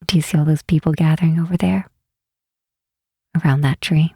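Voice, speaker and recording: A woman playing a gentle, wistful demon queen in a soft ASMR roleplay, speaking slowly and tenderly in a breathy, hushed voice. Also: deep voice, fairly even intonation, no room sound, studio quality. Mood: wistful, solemn. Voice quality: breathy, whispery. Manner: unhurried, hushed, tenderly reassuring.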